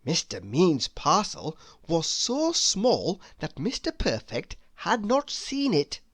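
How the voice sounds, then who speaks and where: A woman narrating a children's storybook read-aloud in an expressive, deliberate storytelling manner. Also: lowish voice, slightly rough, dry recording, clean studio sound.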